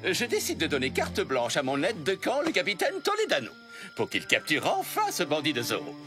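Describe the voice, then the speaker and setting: An actor playing a haughty, exasperated colonial governor in a cartoon, with a scornful, theatrical delivery. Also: male voice, on the high side, huge pitch swings, a little fast, fairly bright tone, soft background music. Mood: decisive, pompous. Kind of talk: animated series dubbing.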